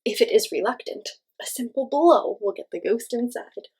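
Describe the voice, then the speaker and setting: A woman's voice: on the high side, animated, moderate pace, darkish tone, husky. A woman hosting a children's read-aloud, reading a picture book expressively and speaking directly to young listeners with simple questions and explanations.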